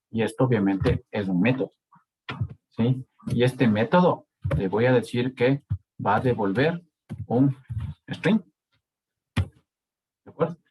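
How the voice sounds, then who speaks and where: Male Ecuadorian lecturer, unhurried delivery, keeps checking in with the class, faint hiss behind. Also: medium pitch, darkish tone, poor audio. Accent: Ecuadorian Spanish. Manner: slow, checks in with frequent "¿sí?" and "¿de acuerdo?". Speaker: university lecturer. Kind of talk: live online coding lesson.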